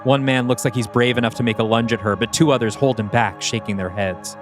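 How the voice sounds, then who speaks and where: A man's voice: medium pitch, fairly even intonation, fairly quick. A man reading scripted, screenplay-style narration for an audio play. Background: background music.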